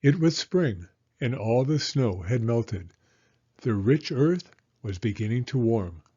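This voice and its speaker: A man's voice: mid-range voice, animated, slow pace, warm tone, slightly rough, lo-fi sound. A man narrating a children's picture book aloud as a storyteller.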